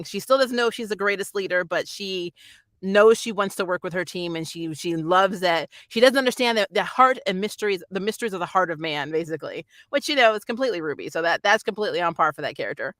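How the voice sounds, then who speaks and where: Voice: medium pitch, quick pace, a bit bright, no room sound. A reviewer chatting casually and emphatically, like a fan talking through an episode as host of a channel. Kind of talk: casual spoiler review chat.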